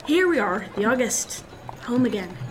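A woman's voice: on the high side, big pitch swings, moderate pace, faint music behind, poor audio. A woman playing a level-headed, dryly witty young male officer in a period seafaring radio drama, with formal nautical phrasing. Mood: brisk, courteous.

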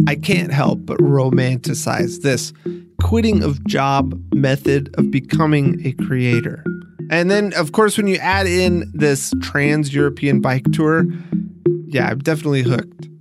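A man hosting a podcast, narrating and discussing in a clear explanatory podcast style. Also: a little high, measured pace, over loud music. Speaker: podcast host, interviewer. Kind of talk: scripted podcast narration with conversational interview.

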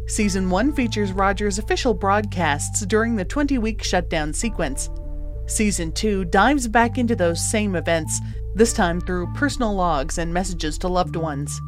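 A woman announcer delivers scripted narration for podcast credits. Her pitch is mid-range, and faint music plays beneath her. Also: moderate pace.

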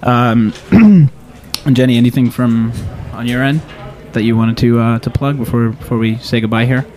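A man hosting a casual radio interview show, speaking in a halting way as he searches for words. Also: medium pitch, a little mellow. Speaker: talk show host.

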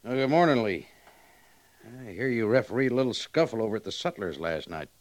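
An actor playing a commanding cavalry major in an old radio western, businesslike and dryly scornful. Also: male voice, fairly low pitch, big pitch swings, brisk. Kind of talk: 1950s radio western acting.

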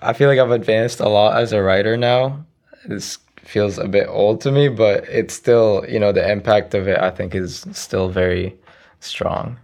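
A young man around twenty, raised in Iraq with Arabic as his first language, answering interview questions in a soft, hesitant way. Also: lowish voice, normal speed. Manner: soft-spoken, hesitant, frequent "you know" fillers. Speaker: interview guest, young poet. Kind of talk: casual conversational interview.

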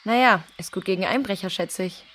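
A woman playing a kind, level-headed girl who reasons calmly and earnestly in a children's audio drama. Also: medium pitch, a little fast, close to an everyday voice. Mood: firm, advising.

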